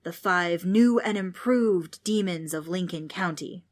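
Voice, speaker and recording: A woman's voice: lowish voice, a little slow, close to an everyday voice. A woman voicing a grumpy, pompous old male ghost who lectures a group of children. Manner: bossy, irritable, grandly spooky.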